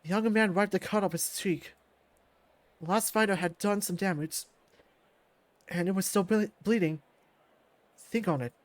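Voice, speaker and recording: A man reading a visual novel aloud as its narrator, in an expressive storytelling style. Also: high voice, normal speed, long pauses, no room sound.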